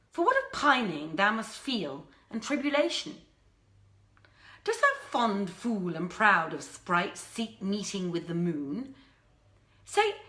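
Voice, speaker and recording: A woman's voice: mid-range voice, bright, forceful tone, roomy sound, heavily acted. A woman voicing a haughty young princess in a dramatic storybook reading, imperious and indignant in archaic speech. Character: haughty young princess, quick to fury and scorn.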